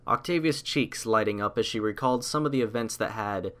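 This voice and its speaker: A man reading a story aloud as scripted audiobook narration, in a measured, literary storytelling manner. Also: on the low side, fairly bright tone.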